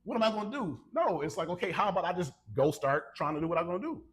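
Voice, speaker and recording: An American man chatting casually as a podcast co-host, telling stories and teasing his friend in an animated way. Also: high-pitched, fast. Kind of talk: casual conversation between friends.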